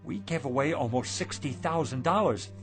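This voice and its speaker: An actor playing a volatile, struggling shopkeeper husband in a radio drama, with an emphatic way of talking. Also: male voice, mid-range voice, expressive, moderate pace, over music, lightly acted. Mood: dismayed. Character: excitable, hot-tempered husband, struggling shopkeeper.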